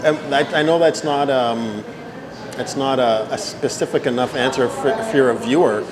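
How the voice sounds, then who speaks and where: A man's voice: mid-range voice, normal speed, a crowd in the background. A man from Canada in his fifties, answering interview questions at length in a casual way and often checking for agreement. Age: 50s. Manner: talkative, repeats "right?" for agreement. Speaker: interview guest.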